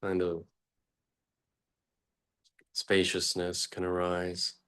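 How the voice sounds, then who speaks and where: A man leading an unscripted guided meditation as a teacher, speaking haltingly in short phrases with long pauses between them. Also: sits low, completely flat delivery, fairly bright tone, clean tone.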